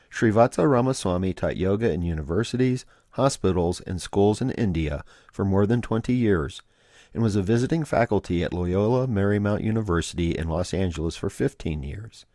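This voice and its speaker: A man's voice: low voice, a little mellow, no echo, studio quality. A man hosting a spirituality podcast, reading his intro and then asking questions with frequent ums and uhs. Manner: hesitant, many ums and uhs. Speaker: podcast host. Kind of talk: podcast interview.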